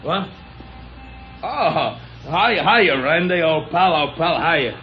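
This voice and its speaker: An actor playing a sweet, slow, down-and-out American boxer in an old radio drama, stammering and repeating himself in a childlike, pleading way. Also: male voice, on the high side, animated, soft background music. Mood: eager, friendly. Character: sweet, slow, punch-drunk down-and-out boxer, gentle and anxious. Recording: dull, noisy old radio recording.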